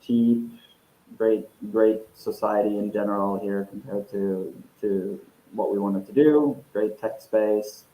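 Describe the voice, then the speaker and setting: A man's voice: fairly low pitch, steady tone, dark tone. Man on an echoey call. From Norway. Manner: thoughtful, with restarts and drawn-out phrases. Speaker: tech company CEO guest. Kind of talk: casual interview over a call. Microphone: video call.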